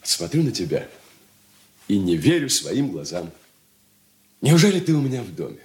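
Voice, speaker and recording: An actor playing an eager young bridegroom in a radio drama, speaking in an ingratiating, tender, stage-trained manner. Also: male voice, fairly low pitch, sing-song, normal speed, a little mellow. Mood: tender, pleading. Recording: roomy echo, faint background noise.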